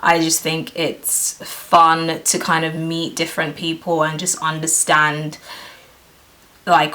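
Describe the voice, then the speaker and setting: A woman in her twenties, raised in Nigeria, chatting casually to camera about herself, full of "like" and "you know", often trailing off quietly. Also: deep voice. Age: 20s. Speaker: beauty vlogger.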